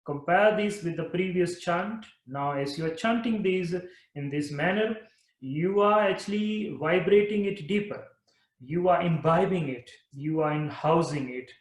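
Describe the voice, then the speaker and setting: A man leading a guided mantra meditation, talking slowly and repeating phrases in a soothing way. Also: fairly high pitch, roomy sound, rough recording. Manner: slow, repetitive, soothing guidance. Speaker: meditation teacher.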